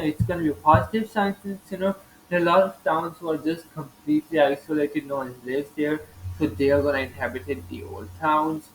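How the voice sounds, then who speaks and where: A man's voice: fairly high pitch, slight background noise, low-quality recording. A man born in Italy narrating a casual geography video over maps, rambling and leaning heavily on "you know" with frequent grammar slips.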